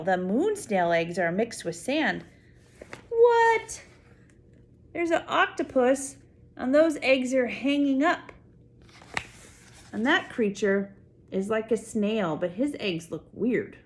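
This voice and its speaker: An older woman reading a picture book aloud to small children, full of wonder, pausing to explain things simply and add asides. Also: a little high, big pitch swings, a little hiss or hum. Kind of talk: read-aloud story time with spontaneous asides to a child.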